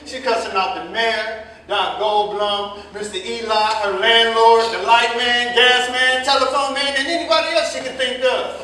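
A man playing an excitable, amused American cab driver telling street news in a staged play reading. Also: very high-pitched, normal speed, few pauses. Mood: amused, excited. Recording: very echoey stage, distant mic.

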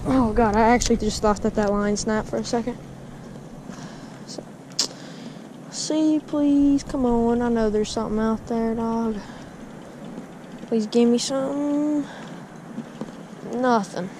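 A man's voice: very high-pitched, fairly even intonation, slow pace, pauses often. A young man, chatting casually to viewers while fishing at a pond, calling them guys and dude. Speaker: fishing vlogger. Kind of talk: casual vlog commentary. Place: outdoors by a windy pond. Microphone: body-mounted action camera. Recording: wind noise outdoors.

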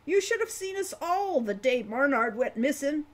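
A man's voice: extremely high pitch, very animated, normal speed, pitched above the performer's own voice. A woman voicing a gloomy, folksy old male dwarf who rambles and grumbles, in a storybook reading. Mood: wistful, mournful.

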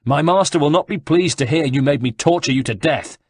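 A man's voice: on the high side, a little fast, slightly rough, dry recording, clean studio sound, voice pushed up. A man voicing a brutal male guard captain who barks jeering threats. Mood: menacing.

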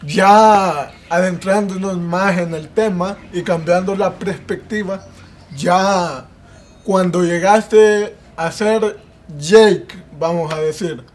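A man asking long, carefully framed interview questions on an outdoor patio. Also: high-pitched. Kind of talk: casual interview.

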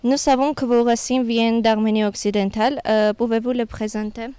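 A woman's voice: on the high side, steady tone, dark tone, smooth, no echo. Formal woman reading interview questions. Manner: formal, measured.

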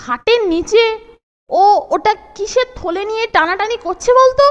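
A woman voicing an excitable, mischievous young boarding-school boy in a cartoon, with a boyish voice. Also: extremely high pitch, normal speed, highs cut off. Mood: mischievous, curious. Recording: music behind the voice.

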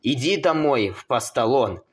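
A man's voice: medium pitch, animated, measured pace. A man doing amateur cartoon voice acting, with theatrical exclamations.

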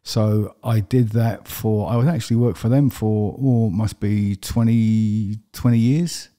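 Low, mellow man with a London accent. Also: unhurried. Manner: plain, steady storytelling with fillers. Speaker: guest. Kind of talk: personal interview. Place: podcast studio with brick wall. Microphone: studio desk mic with headphones.

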